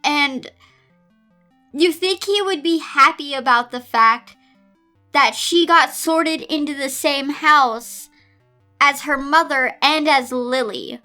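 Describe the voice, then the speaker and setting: A woman's voice: sits high, takes it slowly, pushed and bright, faint music behind. A woman hosting a casual story reading, giving dramatic, exasperated and sarcastic running commentary. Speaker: host reading fan fiction aloud.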